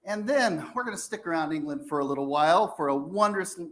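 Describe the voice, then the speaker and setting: A man with a high, bright, forceful voice presents at a theater event. His delivery is an enthusiastic announcement style. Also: lively intonation, brisk.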